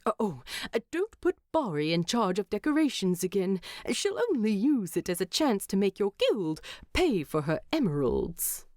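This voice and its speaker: A woman voicing a tired, fussy, gentle male hobbit in a fantasy story, with a hesitant, muttering manner. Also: medium pitch, big pitch swings, moderate pace, dry recording, clean studio sound, natural voice.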